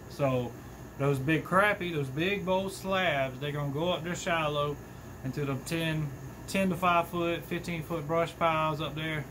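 A man's voice: on the high side, background noise. A man in his thirties hosting a fishing channel, giving casual, folksy tips to the camera from a small workshop. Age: 30s. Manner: chatty, folksy, animated with hand gestures. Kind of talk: casual talking-head tips.

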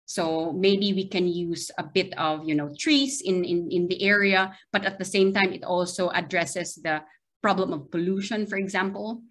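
A woman's voice: lowish voice, fairly even intonation, moderate pace, a bit bright. A middle-aged woman with a Filipino accent giving an online academic lecture, hesitant and often checking in with a questioning tag. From Philippines. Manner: hesitant, checking in with frequent "right?". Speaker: university lecturer giving a seminar. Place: small video-call window, remote presentation.

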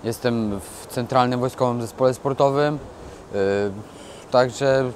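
A man's voice: mid-range voice, steady tone, measured pace, a little mellow. A young man, a soldier and boxer, answering interview questions in an earnest, proud way. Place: outdoors by a glass building. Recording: faint outdoor noise.

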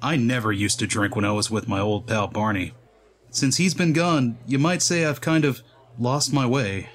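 A man's voice: medium pitch, moderate pace, long reverb tail, close to an everyday voice. A man voicing a downcast, haunted comic-book drifter who speaks earnestly and confessionally. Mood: regretful. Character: downcast, haunted drifter mourning a lost friend. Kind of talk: comic-book dub voice acting. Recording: voice under loud music. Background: loud music.

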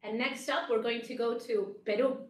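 A woman's voice: a little high, fairly even intonation, normal speed. A middle-aged woman hosting a panel chat from a meeting room over a video call. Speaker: host, developer community manager. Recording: echoey room, distant microphone.

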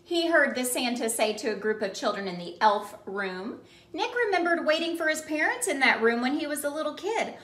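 A woman in her thirties doing an expressive children's picture-book read-aloud, addressing young listeners in an exaggerated way. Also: high voice, fairly quick. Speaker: children's ministry storyteller and host. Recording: roomy indoor echo.

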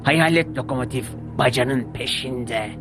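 Man playing cartoon diesel locomotive, sly bully, mocking drawn-out delivery, mid-pitched, faint music behind. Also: close to an everyday voice. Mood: spooky teasing. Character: sneering, mischievous male diesel engine who loves to tease.

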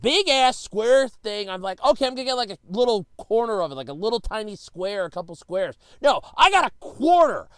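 A man's voice: high-pitched, sing-song, fairly quick, few pauses, a bit bright, smooth, no room sound, studio quality. A man, slightly stuffy with a cold, delivering an exasperated, emphatic, sweary comedic solo rant as host of his own series.

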